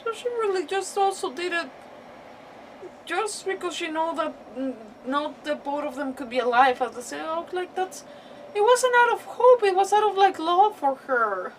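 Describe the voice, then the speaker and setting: A woman streaming a game with casual, emotional reactions, halting as she searches for words. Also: very high-pitched, expressive, far from the mic, a little hiss or hum, poor audio. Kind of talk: casual live gameplay reaction commentary.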